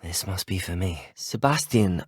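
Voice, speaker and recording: Casting: earnest British teenage wizard student, game protagonist; lively intonation, slightly husky, close dry sound. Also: male voice, deep voice, a little slow, no echo, full character voice. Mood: earnest, urgent. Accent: British English. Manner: polite, articulate, well-spoken. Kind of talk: video game dialogue acting.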